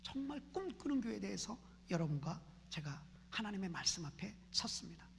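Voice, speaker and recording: A woman's voice: mid-range voice, very animated, brisk, creaky, a little hiss or hum. Fervent woman pastor preaching in a reverberant hall. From Seoul, South Korea. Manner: fervent, repeats phrases in rising prayer chants. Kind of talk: impassioned sermon. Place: church sanctuary.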